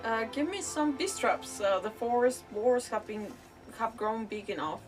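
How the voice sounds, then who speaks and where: A woman's voice: a little high, lively intonation, measured pace. A woman streaming a game with casual commentary, muttering and repeating herself. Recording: distant mic under loud game music.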